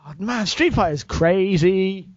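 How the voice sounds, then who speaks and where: High-pitched, animated man chatting casually. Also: unhurried, dull audio. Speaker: podcast panelist. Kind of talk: casual group podcast chat.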